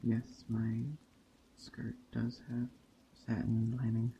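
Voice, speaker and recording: A man speaking softly and unhurriedly with long pauses, in an ASMR roleplay as a gentle, hospitable witch. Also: mid-range voice, monotone, gravelly, lo-fi sound, a little hiss or hum. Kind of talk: soft-spoken ASMR roleplay narration. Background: rain and forest ambience.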